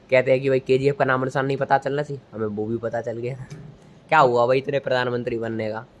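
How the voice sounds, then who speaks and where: A young man chats through a movie's story in a rambling, joking way. His medium-pitched voice slips easily into storytelling. The recording carries faint ambient noise. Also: fairly even intonation, brisk. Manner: jokey, rambling storyteller, acts out dialogue. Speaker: movie reviewer. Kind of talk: casual film plot recap in spoken chat. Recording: noisy ambient location recording.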